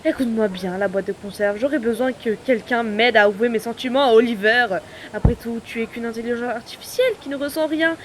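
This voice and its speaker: A woman voicing a bossy, scornful, lovestruck schoolgirl in a French animated fan dub. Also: a little high, fairly quick, slight background noise, lightly acted.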